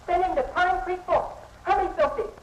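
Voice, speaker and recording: A brisk country telephone operator in a 1930s radio western. Her voice is high and urgent, with clear noise on the old recording. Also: steady tone. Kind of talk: 1930s radio drama acting. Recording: noisy old 1930s film soundtrack.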